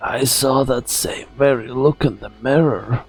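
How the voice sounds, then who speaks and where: A man playing a mocking, bitter old scientist in a theatrical, deliberate fan-dub performance. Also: mid-range voice, gravelly, slightly rough, soft background music. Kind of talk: fan-dub game drama acting.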